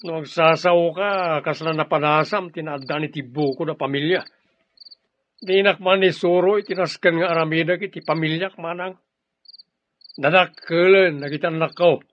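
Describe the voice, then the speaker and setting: A man's voice: fairly high pitch, steady tone, moderate pace, muffled recording, a little hiss or hum, natural voice. An actor playing a defiant, irresponsible hard-drinking man in a Filipino radio drama, dismissive and argumentative, with a Filipino accent. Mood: defiant, irritated.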